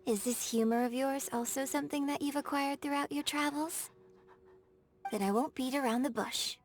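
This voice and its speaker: Young female opera performer for a video game, played by an actress: high, melodious voice, courteous, formal, elegant diction, music underneath. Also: fairly even intonation, moderate pace, natural voice. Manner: formal, polite, carefully enunciated. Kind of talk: scripted game character dialogue.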